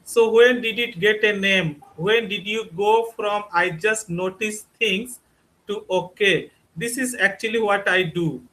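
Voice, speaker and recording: A man's voice: high voice, unhurried, bright, forceful tone, rough recording. A man hosting a podcast interview, asking prepared questions. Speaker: podcast host.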